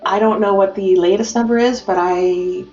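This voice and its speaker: A woman's voice: mid-range voice, steady tone, moderate pace, darkish tone. Upbeat woman on a roomy video call. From United States. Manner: upbeat, promotional, with frequent fillers. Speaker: industry guest from a printing company. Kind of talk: remote business interview. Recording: roomy, dull audio with faint music.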